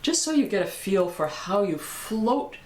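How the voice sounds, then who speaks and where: An older woman with a low voice, teaching a singing lesson in a calm, encouraging way. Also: a little slow, reverberant, low-quality recording. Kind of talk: vocal lesson with sung exercises.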